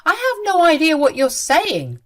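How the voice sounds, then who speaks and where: A woman's voice: sits high, wildly swooping pitch, normal speed, voice pushed up. Woman doing angry adult son, stern, confrontational, emphatic, firm, family drama audio story. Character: angry adult son, firmly defending his wife.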